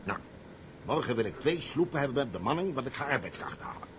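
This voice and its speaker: A cocksure salvage captain in a radio drama, speaking at a middle pitch. He is theatrical and commanding, a schemer sure of himself, with faint rumble behind him. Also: very animated, quick pace, fairly bright tone. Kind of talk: Dutch radio play acting.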